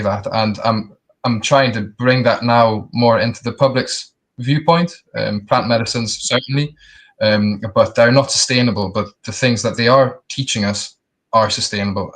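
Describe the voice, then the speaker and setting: A young man, telling his personal story casually in a halting, reflective way. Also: fairly low pitch, a bit bright, poor audio. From Scotland and the Netherlands. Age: young adult. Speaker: interview guest.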